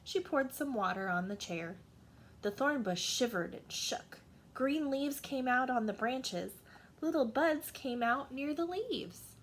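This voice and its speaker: A woman's voice: high voice, lively intonation, slow pace, a little hiss or hum. A woman narrating a children's storybook as a teacher reading to young listeners.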